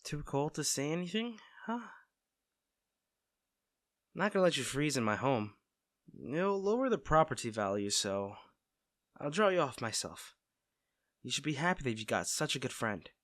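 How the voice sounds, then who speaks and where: Male actor for devoted best friend in audio roleplay; caring, teasing, conversational, lively intonation, dry sound. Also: medium pitch, moderate pace, long pauses, no room sound. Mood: casual, teasing. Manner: fussing, tender, conversational. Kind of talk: soft-spoken scripted roleplay audio drama.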